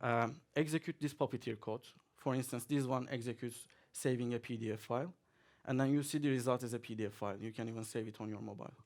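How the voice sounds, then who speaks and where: A man talks through a tech demo at a conference, at an everyday middle pitch. He is relaxed and chatty, leaning on fillers and chuckling now and then as he goes. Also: fast, hardly any pauses. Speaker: conference speaker. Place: conference hall, audience present.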